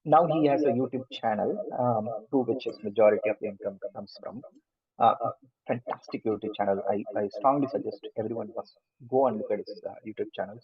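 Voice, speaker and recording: A man's voice: on the low side, fairly quick, breathy edge, distant mic. A man with an Indian accent giving an online class, hesitant with many fillers and restarts. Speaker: lecturer. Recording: phone-like muffled line from afar.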